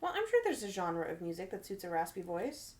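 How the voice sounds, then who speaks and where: A woman's voice: fairly low pitch, sing-song, fairly quick. A woman co-hosting a casual podcast, thoughtful and chiming in with asides. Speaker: podcast co-host. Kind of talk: casual chat.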